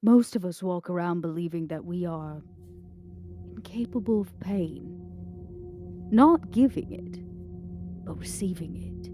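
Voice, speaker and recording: Casting: woman as sardonic vampire torturer, lower register, unhurried threats, for a tabletop horror game with music under. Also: warm tone. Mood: menacing, sardonic. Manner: slow, deliberate, sardonic threats. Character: menacing, mocking, coolly sadistic female vampire interrogator. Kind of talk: tabletop role-play.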